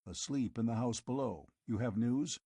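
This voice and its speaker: A man's voice: medium pitch, moderate pace, mellow, slightly rough, no room sound, clean studio sound, natural voice. A man reading an audiobook, voicing a turbaned male magician servant who speaks hesitantly and anxiously.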